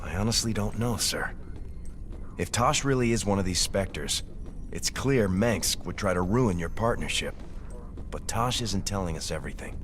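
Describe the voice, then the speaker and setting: An actor playing a dutiful military second-in-command in a video game, formal and earnest. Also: male voice, low voice, expressive, moderate pace, slight background noise. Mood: concerned. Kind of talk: dramatic video game acting.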